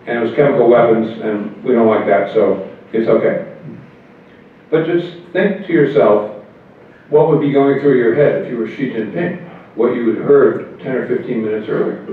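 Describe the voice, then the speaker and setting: An older man, a politician guest, telling wry anecdotes in a relaxed conversational way at a convention. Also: medium pitch, moderate pace. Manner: wry and anecdotal, chuckles at his own jokes. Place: hotel meeting room at a convention. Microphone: table mic on a stand, heard from far. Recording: echoey room, far, phone-like thin sound.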